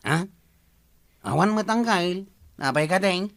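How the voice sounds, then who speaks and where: A male actor playing an excitable, inquisitive young relative in a comic radio drama. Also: high-pitched, measured pace, exaggerated voice. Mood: puzzled.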